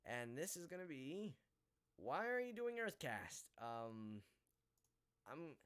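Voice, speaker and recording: Casual male podcast host, smooth and bright, hesitant rambling delivery. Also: fairly high pitch, sing-song, slow pace. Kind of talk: casual live podcast chat. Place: online voice call.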